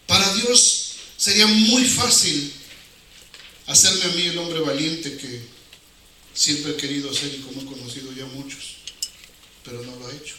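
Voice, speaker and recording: A man's voice: fairly high pitch, measured pace, long pauses, bright, forceful tone. A man with a Mexican accent preaching an informal sermon in colloquial words, using rhetorical questions and repeating phrases for emphasis. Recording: very echoey hall, distant, noisy.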